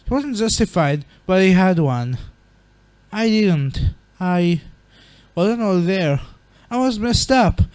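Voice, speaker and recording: A man's voice: sits high, animated, takes it slowly, darkish tone, smooth, dry recording. A man voicing an earnest, guilt-ridden teenage goat-monster son in a comic dub, with a casual, hesitant way of talking. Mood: pleading.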